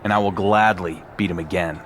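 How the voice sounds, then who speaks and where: A man's voice: deep voice, fairly even intonation, brisk, a little hiss or hum, lightly acted. A man playing a proud, defiant champion wrestler in a video game, blunt and confrontational. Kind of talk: acted video game cutscene dialogue.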